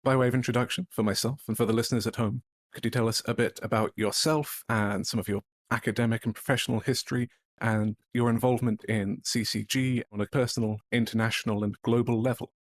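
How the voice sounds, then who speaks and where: A man hosting a research podcast, reading a scripted introduction and then asking questions in a measured, polished way. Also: mid-range voice, fairly even intonation, dry recording. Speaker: podcast host.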